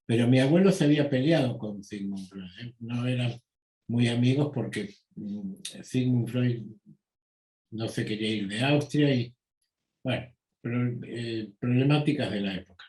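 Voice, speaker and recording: An older man with an Argentine Spanish accent, a psychoanalyst answering interview questions slowly and deliberately, with frequent pauses and fillers. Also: medium pitch, a little mellow, roomy sound, rough recording. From United States. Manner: slow, measured, with many pauses and fillers. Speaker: psychoanalyst guest.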